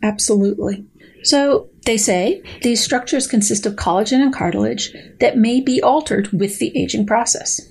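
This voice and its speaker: Female podcast guest, articulate, breathy-husky and a bit high, reading and remarking on research. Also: normal speed, darkish tone. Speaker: guest biologist. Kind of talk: reading a research paper aloud and commenting.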